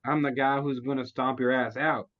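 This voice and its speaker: A man's voice: mid-range voice, little pitch movement, moderate pace, dull audio, slight background noise, natural voice. A man reading the part of a hot-headed, profane action-movie hero in a comedy table read. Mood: cocky, defiant.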